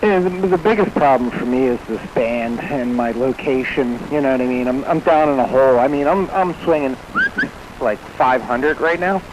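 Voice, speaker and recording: A man with a mid-pitched voice chats over a noisy CB radio signal. He sounds casual, grumbling in a good-humored way through the static. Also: moderate pace. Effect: two-way radio transmission. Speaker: CB radio operator.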